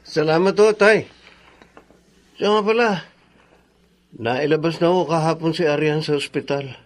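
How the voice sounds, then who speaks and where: An actor playing a respectful, conciliatory adult son in a Filipino radio drama, polite and earnest. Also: male voice, fairly high pitch, moderate pace, natural voice.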